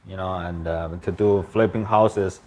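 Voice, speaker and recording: A man's voice: low voice, fairly even intonation, a little slow, mellow. Chinese-accented man in casual English. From China. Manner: plain, slightly broken English with frequent "uh" and "you know". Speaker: guest, land investor. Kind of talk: casual interview.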